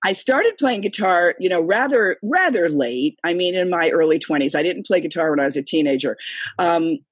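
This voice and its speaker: A woman's voice: lowish voice, animated, moderate pace, husky, dry recording, studio quality. An older woman, a singer being interviewed over a computer call, talking in a chatty, rambling way with lots of fillers. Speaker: singer and guest. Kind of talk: casual interview conversation. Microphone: remote call, computer.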